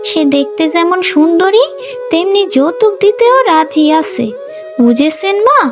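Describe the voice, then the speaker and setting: A woman's voice: very high voice, animated, measured pace, over music. A woman voicing a pushy, scornful young daughter-in-law in an emphatic cartoon family drama style. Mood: coaxing, scheming.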